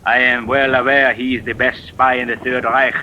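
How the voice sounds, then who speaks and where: A man's voice: mid-range voice, level tone, normal speed, pushed and bright. A man playing a brusque, impatient German military man in an old-time radio drama, curt and haughty. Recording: dull, faintly noisy old radio recording.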